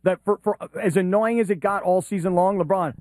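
A man's voice: high voice, fairly even intonation, a little fast, a little mellow, no echo. A man co-hosting a sports talk show in a wry, teasing way. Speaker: sports talk radio co-host.

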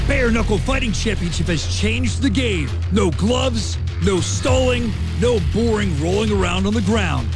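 A man reading a radio ad in a punchy, hard-selling way. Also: sits high. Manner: punchy hard-sell delivery. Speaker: ad announcer. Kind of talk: scripted radio ad.

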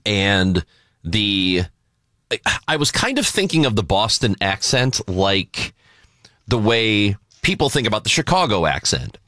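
Animated bright-voiced male radio co-host. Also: on the low side, a little slow, dry recording, clean studio sound. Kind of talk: casual banter on a talk radio show. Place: radio studio. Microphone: close studio broadcast microphone.

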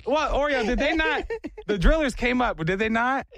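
A man's voice: very high voice, lively intonation, normal speed, creaky, fairly bright tone, slight background noise. A man hosting a morning radio show, joking and self-mocking in casual banter.

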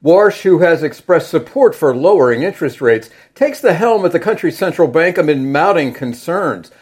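A man anchoring a news segment as bureau chief, in a measured news delivery. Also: fairly high pitch, big pitch swings, slightly rough.

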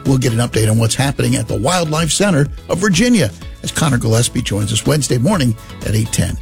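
Male station promo read, upbeat, gravelly texture, animated pitch. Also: fast. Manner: upbeat announcer delivery. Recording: music bed under voice.

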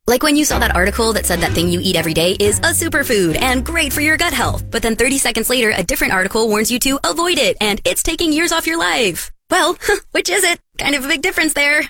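A woman's voice: high voice, lively intonation, fast talker, hardly any pauses, pushed and bright. A woman reading a radio ad in a wry, conversational way. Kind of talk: scripted radio ad.

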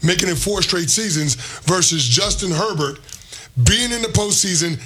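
A slightly hoarse man co-hosting a sports talk show, laying out questions and comparisons in casual chat. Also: high-pitched, expressive, normal speed, sharp tone. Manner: poses questions and lays out comparisons.

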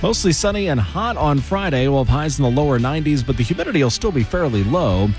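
A man's voice: on the high side, expressive, a little mellow, faint music behind. Male radio weather forecaster. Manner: measured, clear delivery. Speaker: meteorologist.

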